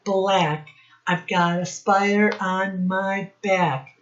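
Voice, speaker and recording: A woman teacher speaking to young children in a singsong instructional style, with simple phrases and deliberate repetition. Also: medium pitch, fairly even intonation, roomy sound. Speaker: children's teacher and read-aloud host. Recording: dull, lo-fi sound.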